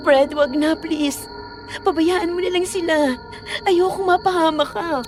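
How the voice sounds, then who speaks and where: A woman's voice: very high voice, measured pace. An actress playing an emotional, stubborn young woman in an audio horror drama. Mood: pleading. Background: music.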